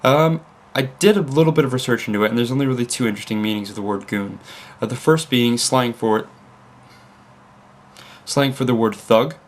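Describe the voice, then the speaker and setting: A man's voice: mid-range voice, a little fast. Young vlogger, American accent with Leeds creeping in, rambling casually at his desk. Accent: mixed American and Leeds. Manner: rambling, self-interrupting, with fillers. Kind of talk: casual chat to camera. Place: home room at a computer desk.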